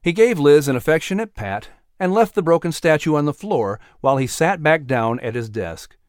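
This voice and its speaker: A man narrating a scripted story as an audiobook, in a measured, expressive storytelling manner. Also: mid-range voice, no echo, studio quality.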